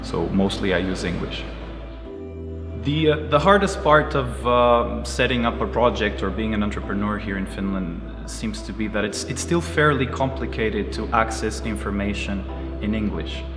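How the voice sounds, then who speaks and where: Earnest Portuguese man, lower voice, echoey room. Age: 30s. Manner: measured, earnest, with frequent uh pauses. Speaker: karate teacher and entrepreneur. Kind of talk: interview answers. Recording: roomy echoey space with music behind. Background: music playing under the voice.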